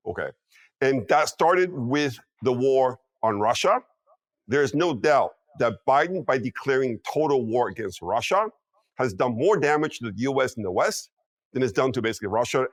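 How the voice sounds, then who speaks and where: A man's voice: mid-range voice, dry recording. Male guest strategist in his fifties, punchy and insistent, mid-range voice, dead-dry room. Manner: emphatic, peppers talk with "okay?" and "you know what?". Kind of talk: interview commentary.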